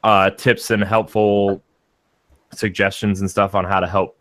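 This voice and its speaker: A man's voice: lowish voice, little pitch movement, a little slow, a bit bright, dry recording. A man chatting casually as a podcast co-host, in an informal, rambling way. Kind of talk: casual chat.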